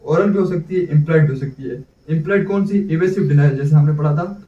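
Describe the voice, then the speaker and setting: A man's voice: on the high side, quick pace, mellow. A man with a Pakistani accent giving an explanatory exam-prep lecture as a teacher, often asking himself questions and answering them. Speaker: law teacher. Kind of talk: explanatory exam-prep lecture in Urdu mixed with English terms. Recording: dull, echoey room, distant mic.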